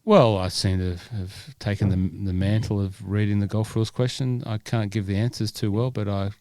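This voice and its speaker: A man with a low voice co-hosts a casual podcast, rambling and poking fun at himself. The sound is dry and close, with no room around it. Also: steady tone, moderate pace, mellow, no room sound. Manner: self-deprecating, rambling banter. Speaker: podcast co-host.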